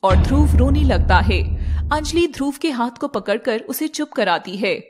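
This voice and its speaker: Female fairy-tale narrator: highish pitch, scripted storytelling, noisy background. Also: lively intonation, moderate pace.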